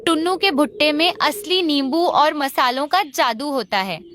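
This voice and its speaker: A woman's voice: high-pitched, moderate pace, fairly bright tone, slight background noise. A woman voicing an earnest, outspoken forest bird in a children's cartoon. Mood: critical. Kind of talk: Hindi kids' cartoon dialogue.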